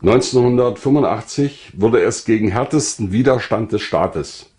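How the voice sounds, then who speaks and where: Low-pitched older man narrating. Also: moderate pace, darkish tone. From Lusatia, eastern Germany. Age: 60s or older. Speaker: writer and former pastor telling his own story. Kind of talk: scripted autobiographical narration.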